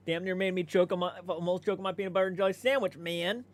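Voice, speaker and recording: A man's voice: sits high, steady tone, quick pace. A man, maybe in his thirties, chatting casually as a livestream host, laid-back and conversational, swearing freely and responding to viewer comments.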